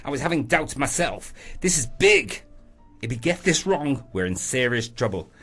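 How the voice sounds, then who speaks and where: A man playing an authoritative, worried newspaper boss in a radio play, speaking in a commanding, deliberate way. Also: medium pitch, sing-song, fairly bright tone, breathy edge, faint music behind. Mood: grave, resolute. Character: authoritative, worried male newspaper boss addressing his staff.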